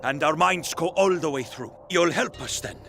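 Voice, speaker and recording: An actor playing a gruff, businesslike male dwarf smith in a video game cutscene, with a gravelly voice. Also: a little high, moderate pace, pushed and bright. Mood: brisk. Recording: game capture with music underneath.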